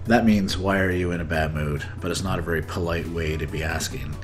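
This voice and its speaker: A man's voice: sits low, fairly even intonation, fairly quick, faint music behind. A man in his forties teaching English with matter-of-fact definitions and scripted dialogue.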